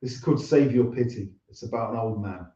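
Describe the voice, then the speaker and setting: A man's voice: medium pitch, fast talker, dark tone. A man chatting casually as a guest singer-songwriter in an interview. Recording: muffled, echoey, distant from mic.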